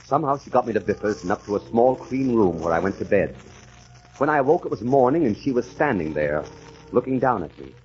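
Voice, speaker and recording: Deep-voiced, troubled English gentleman. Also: very animated. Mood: tense recollection. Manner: earnest, halting and hesitant in dialogue. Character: troubled middle-aged English gentleman recalling his shell-shocked, amnesiac past. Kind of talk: 1940s radio drama acting, dramatic first-person narration. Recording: muffled, noisy 1940s radio recording.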